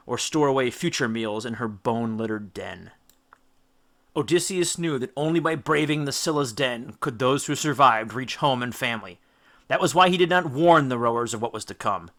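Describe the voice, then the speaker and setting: A man reading an epic story aloud as an audiobook, with theatrical, emphatic delivery and deliberate dramatic stresses. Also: medium pitch, pushed and bright. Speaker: audiobook narrator. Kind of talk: dramatic scripted storybook narration.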